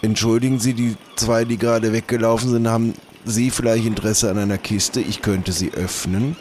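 Male player as wheeling-dealing merchant: low voice, drawn-out politeness, slightly pompous, improvised audio play, faintly noisy recording. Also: steady tone, a little mellow, close to an everyday voice. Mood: salesmanlike. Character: male trader hawking wares, polite, slightly pompous haggler.

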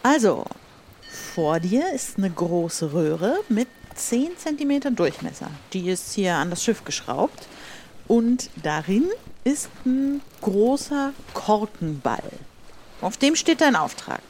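A woman's voice: mid-range voice, very animated, slow, darkish tone, slight background noise. A woman playing a stern, commanding pirate captain in an improvised audio adventure, speaking in an imperious, declamatory way. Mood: stern, brisk. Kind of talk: improvised audio drama acting.

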